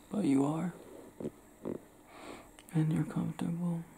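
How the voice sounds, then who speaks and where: Soft-whispering young man, gravelly low tones. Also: steady tone, slow, a little hiss or hum. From Cleveland, Ohio. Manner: soft, intimate, often whispered talk with small laughs. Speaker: ASMR roleplay creator. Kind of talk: ASMR roleplay. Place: small room indoors.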